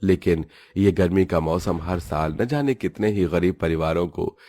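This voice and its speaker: A man's voice: deep voice, moderate pace, dark tone. A man narrating a scripted, dramatic cartoon story.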